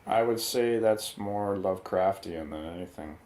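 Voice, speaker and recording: A man with a low voice talks as a guest in a casual film discussion. He is opinionated but informal. He often halts briefly while he works out what to say. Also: moderate pace, darkish tone.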